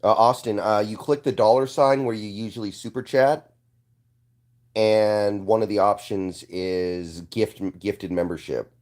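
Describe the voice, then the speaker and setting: A man's voice: on the low side, measured pace. Congested man chatting on a stream. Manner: relaxed, offhand, frequent throat clearing. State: congested and hoarse from allergies. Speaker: streamer hosting a live watchalong. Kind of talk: casual live-stream chat reading viewer comments.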